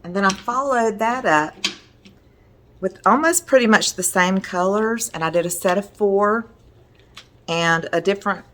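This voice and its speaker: A middle-aged woman with a hoarse voice, chatting casually as a resin art teacher while showing her work at home. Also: medium pitch, a little slow, pauses often, a bit bright, a little hiss or hum. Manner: chatty, enthusiastic, meandering. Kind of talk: casual video talk showing artwork.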